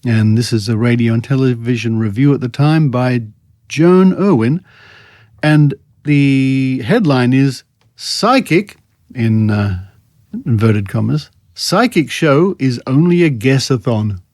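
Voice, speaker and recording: A man's voice: mid-range voice, expressive, slow, a little mellow, no echo, clean studio sound. An Australian man hosting a podcast in a casual, wryly amused, conversational way. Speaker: podcast host. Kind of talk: casual chat about psychics and scepticism.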